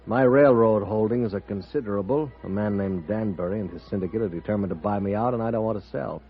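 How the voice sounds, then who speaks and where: Casting: a wealthy Old West businessman, low husky voice, earnest and uneasy, radio western. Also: quick pace. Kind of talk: 1950s radio western acting. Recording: muffled vintage radio broadcast with faint music.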